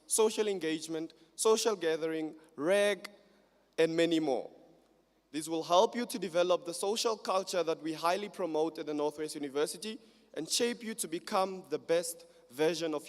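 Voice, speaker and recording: A man delivers a formal welcome speech in a measured, read-aloud way. His voice sits a little high. Long reverb from a large hall trails each phrase. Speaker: student council speaker.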